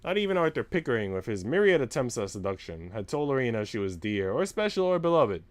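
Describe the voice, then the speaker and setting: A man reading a visual novel aloud as expressive story narration for a livestream. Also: medium pitch, fast talker.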